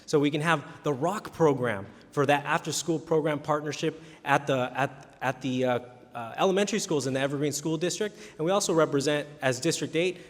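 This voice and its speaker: Man, forum candidate, earnest, stumbling restarts, high-ish voice, long hall echo. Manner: earnest, many restarts and repeated words. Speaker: city council candidate. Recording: reverberant hall.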